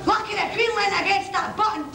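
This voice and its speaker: A woman plays an exasperated, bullying pantomime master with an extremely high, shouty delivery. The sound is distant and echoey, with heavy noise. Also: quick pace, vocal fry. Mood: furious. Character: irritable, bullying master scolding a dim servant. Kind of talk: amateur pantomime stage acting. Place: on stage in a high school hall. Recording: distant mic in noisy, echoey school hall.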